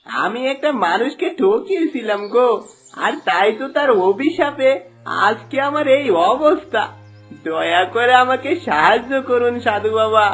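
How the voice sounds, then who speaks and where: A remorseful cartoon villager, acted by a man, once greedy and now begging for mercy. The voice is high for a man and plaintive, with music playing underneath. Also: expressive, measured pace, fairly bright tone, exaggerated voice. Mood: pleading. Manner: pleading, plaintive. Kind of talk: cartoon moral tale acting.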